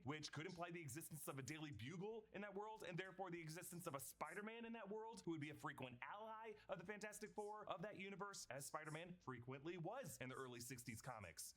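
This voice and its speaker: A man narrating a scripted breakdown video in a smooth, polished delivery with dramatic emphasis. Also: fairly high pitch, a bit bright.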